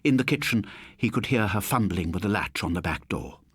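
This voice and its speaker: A man narrating a scripted suspense story for an audiobook, with theatrical, measured phrasing. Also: on the low side, animated, husky, dry recording, clean studio sound.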